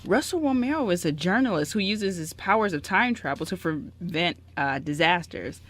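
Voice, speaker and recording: A woman's voice: lowish voice, expressive, moderate pace, a bit bright. A woman on a radio show reading a story synopsis aloud, stumbling now and then and correcting herself. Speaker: radio show cast member.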